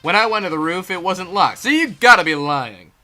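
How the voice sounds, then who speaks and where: A man's voice: sits high, expressive, a little fast, pushed and bright, soft background music, voice pushed up, heavily acted. A man in an amateur game fandub voicing an earnest young student detective working through deductions. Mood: accusing.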